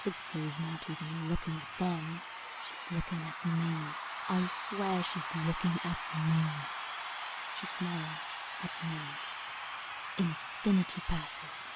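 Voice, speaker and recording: A woman's voice: low voice, flat delivery, takes it slowly, very noisy. A woman playing a starstruck seventeen-year-old girl who narrates earnestly and dramatically in a fan-dubbed comic drama, with music playing. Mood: awed.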